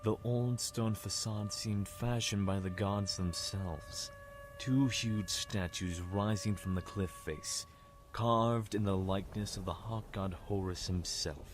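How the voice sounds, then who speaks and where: A man's voice: lowish voice, steady tone, slow pace, noisy background. A man acting a wry, reflective Egyptian prince who narrates his past in an audio drama. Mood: awed, descriptive. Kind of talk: scripted first-person narration. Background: music.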